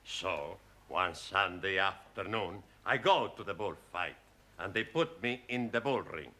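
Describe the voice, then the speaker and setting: A man's voice: low-pitched, expressive, measured pace, sharp tone, exaggerated voice. An actor playing a cheerful, boastful Spanish male student with a Spanish accent, telling a comic story in broken English in a 1970s studio sitcom. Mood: jolly.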